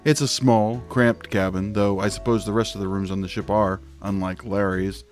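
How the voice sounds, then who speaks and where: An actor playing a clueless, quirky dad detective, narrating in an earnest, scene-setting way for a comic audio drama. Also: male voice, on the low side, flat delivery, normal speed, close to an everyday voice. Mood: wry narration. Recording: faint music under the voice.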